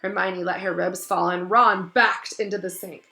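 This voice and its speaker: Bright-voiced woman reading a story aloud. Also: fairly low pitch, steady tone, moderate pace, creaky, faint music behind. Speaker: read-aloud narrator. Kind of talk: expressive storybook reading with casual asides.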